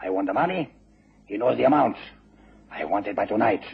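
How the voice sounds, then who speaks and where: An actor playing a smug, menacing gentleman blackmailer with mock-courteous, gloating manners in a radio drama. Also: fairly low pitch, animated, fairly quick, gravelly, breathy edge, full character voice. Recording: dull, noisy old radio recording.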